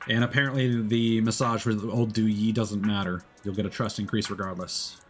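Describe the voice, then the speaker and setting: A man's voice: lowish voice, level tone, normal speed. A man giving casual live commentary while playing a video game, musing aloud in a conversational way. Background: music behind the voice.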